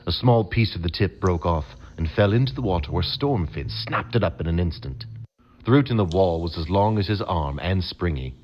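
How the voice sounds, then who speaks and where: A man with a very low voice narrates a fantasy audio adventure game. He tells it in a measured, dramatic storybook style. The recording sounds slightly dull and muffled. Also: lively intonation.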